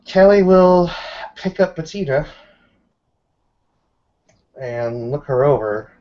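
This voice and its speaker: A man's voice: a little high, animated, takes it slowly. A man playing a tabletop roleplaying game, chatting casually and swearing freely as a player. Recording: dull, lo-fi voice recording.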